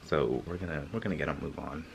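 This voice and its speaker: A man's voice: very deep voice, quick pace, vocal fry, very noisy. A young man vlogging casually to camera, sounding stuffy and congested, rambling through a story with lots of fillers. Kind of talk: casual vlog talk to camera.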